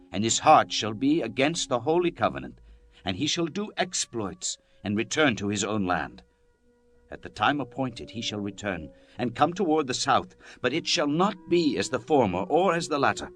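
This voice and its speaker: A man's voice: medium pitch, fairly bright tone. Dramatic man reading scripture over music. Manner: solemn, declamatory. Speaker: Bible narrator.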